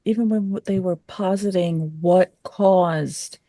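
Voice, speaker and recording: A woman's voice: fairly low pitch, slow pace, dark tone, no echo. A woman co-hosting a podcast, talking through a review in a casual conversational style. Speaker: podcast co-host.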